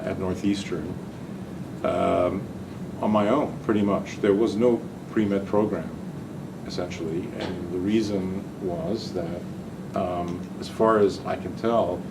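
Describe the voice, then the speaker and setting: An older man from Hungary, a physician and researcher giving a talk, speaking hesitantly with many ums and restarts. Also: low-pitched. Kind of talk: lecture-style talk. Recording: distant mic with audible room noise.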